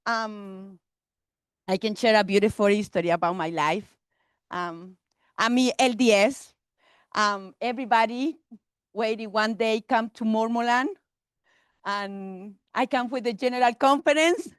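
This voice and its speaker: Brief: middle-aged Chilean woman, Spanish accent, halting English, somewhat high voice, dry sound. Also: smooth, no echo. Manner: halting broken English, chatty, laughs often. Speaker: vendor presenting her business. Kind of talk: informal pitch to a meeting.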